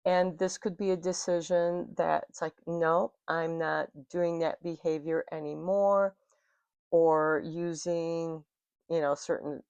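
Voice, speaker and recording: An American woman giving an intuitive tarot reading in a calm spiritual manner, her throat rough and scratchy from smoke. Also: sits low, dry recording. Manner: slow, soothing, spiritual. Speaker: tarot reader.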